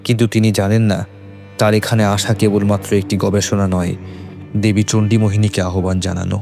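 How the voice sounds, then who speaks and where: A man reads a scripted horror tale as narrator. His voice is fairly deep, with measured, suspenseful phrasing. Soft music plays underneath. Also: flat delivery, vocal fry. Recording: faint music behind.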